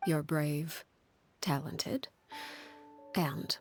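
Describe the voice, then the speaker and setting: A stern female leader in a game drama, her voice fairly low. She speaks formally and solemnly, weighing each phrase, with care held beneath the authority. Music plays faintly behind her. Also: fairly even intonation, very slow, heavily acted. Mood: measured, approving. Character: stern commanding woman, head of an orphanage organization, quietly caring. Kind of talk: scripted video game drama.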